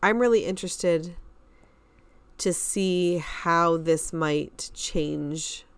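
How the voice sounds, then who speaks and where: A woman with a low-pitched voice speaks as a podcast co-host, recorded dry with very little room sound. She chats casually and offers thoughtful commentary. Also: very slow, smooth, no echo.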